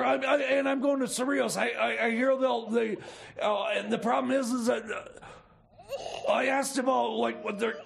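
A man playing a creaky, high-pitched, stammering uncle. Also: heavily acted. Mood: flustered, defensive. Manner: stammering, repeating words, flustered. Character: frazzled, scheming, broke time-machine-building uncle. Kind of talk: improvised radio comedy skit.

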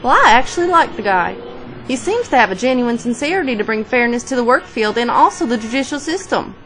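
A woman's voice: fairly high pitch, lively intonation, brisk, a bit bright, slight background noise, poor audio. An actress playing a candid, upbeat woman giving her approving opinion in an interview. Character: approving female person on the street.

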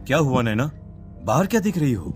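A man voicing a concerned young man of an Indian household in an animated horror story, with music underneath. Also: medium pitch, a little fast, lightly acted. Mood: puzzled.

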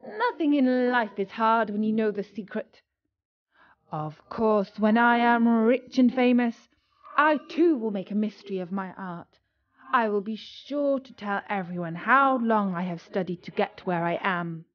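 A woman's voice: mid-range voice, measured pace, natural voice. Woman playing a cocky young apprentice boy, muffled. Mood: smug. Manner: eager, self-important, storybook dialogue.

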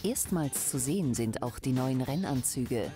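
A woman reading a scripted news voice-over in a smooth, measured way. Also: rumbling low, expressive, over music. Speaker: news narrator.

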